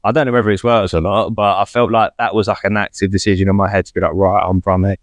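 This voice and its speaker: A man's voice: fairly low pitch, flat delivery, fast, no echo, studio quality. A man with a London accent, a podcast guest who chats casually in a self-deprecating way and laughs easily. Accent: London English. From London, England. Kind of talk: casual chat.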